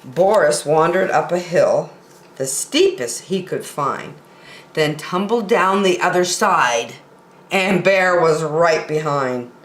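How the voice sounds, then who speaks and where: A woman reading picture books aloud expressively for children, with measured dramatic pauses, in a roomy space. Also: low voice, animated. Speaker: children's story time reader.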